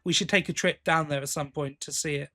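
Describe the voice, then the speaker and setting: A man's voice: fairly high pitch, fairly even intonation, brisk, sharp tone. A man co-hosting a podcast, chatting casually in a hesitant, rambling way with tag questions. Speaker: podcast co-host. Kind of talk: casual chat about audio drama releases.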